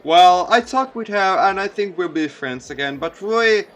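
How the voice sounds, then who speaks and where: A man voicing an earnest, easily flustered teenage schoolboy, the protagonist of a visual novel, in a halting manner. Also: high-pitched, animated, fairly bright tone, pitched above the performer's own voice. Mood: earnest, exasperated.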